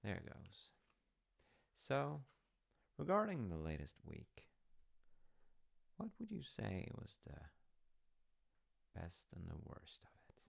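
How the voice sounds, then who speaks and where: Deep, creaky-voiced gentle dad played by a man. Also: wildly swooping pitch, slow pace, pauses often, full character voice. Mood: curious, caring. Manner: gentle, hesitant, musing, softly wry. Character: warm, gently fussy middle-aged dad at teatime. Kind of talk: intimate audio roleplay, improvised-sounding chat.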